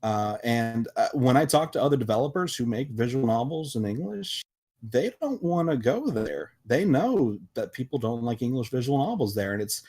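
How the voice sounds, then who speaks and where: A man with a mid-pitched voice speaks in a casual podcast discussion. He is emphatic but halting, doubling back and restarting phrases as he argues his point as one of the panel. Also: very animated, a little mellow, breathy edge. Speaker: podcast panelist and visual novel developer.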